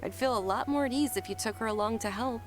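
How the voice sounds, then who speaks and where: A woman playing a gentle, well-bred young noble lady in a video game, polite, caring and a little anxious. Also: fairly high pitch, fast talker, natural voice. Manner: polite, soft-hearted, hesitant. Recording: game music behind the voice.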